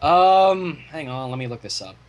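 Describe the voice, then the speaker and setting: A man's voice: a little high, lively intonation, moderate pace, muffled recording. A man hosting a fan fiction reading, delivering the story with irreverent, profane asides. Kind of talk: story reading with casual commentary.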